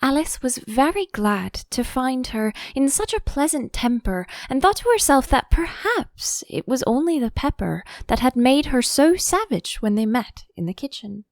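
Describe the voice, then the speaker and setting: A woman narrating an audiobook in an expressive storybook style. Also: high voice, moderate pace, few pauses, dry recording, studio quality.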